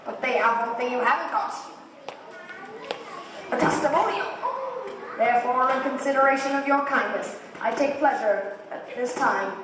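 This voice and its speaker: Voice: sits high, distant mic, lightly acted. Performer doing a kindly fraud wizard making speeches, stage play, really echoey with faint noise. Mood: mock-grand. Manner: speechifying, mock-grand, halting when flustered. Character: bumbling, kindly humbug wizard, an old showman. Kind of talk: theatrical school stage play acting.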